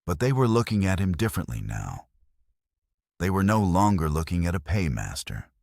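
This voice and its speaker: Very deep husky male narrator. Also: sing-song, normal speed, darkish tone, no room sound, clean studio sound. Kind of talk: dramatic scripted audiobook narration.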